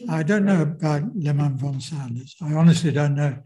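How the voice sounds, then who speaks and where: A man giving a lecture, answering audience questions in a hesitant, measured way with many fillers. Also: a little high, crowd noise. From Britain.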